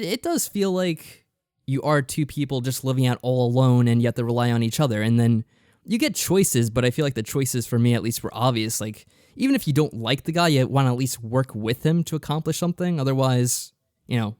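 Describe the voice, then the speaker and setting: A man's voice: mid-range voice, lively intonation, brisk, darkish tone, smooth, no room sound, studio quality. A man co-hosting a podcast, explaining things in a casual, matter-of-fact review style. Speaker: podcast co-host reviewing visual novels.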